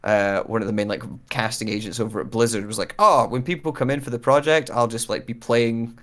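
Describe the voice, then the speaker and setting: A man's voice: mid-range voice, fairly even intonation, a little fast, fairly bright tone. A man chatting casually as a guest on a livestream, a voice actor who rambles enthusiastically and pokes fun at himself. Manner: enthusiastic, self-deprecating, rambling with many asides.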